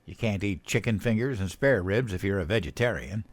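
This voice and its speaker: Dim, childlike president, lively low voice. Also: male voice, fairly quick, dry recording. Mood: sheepish, puzzled. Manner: naive, hesitant, easily puzzled. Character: dim-witted, childlike, cheerful American president. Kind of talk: comedic audio drama acting.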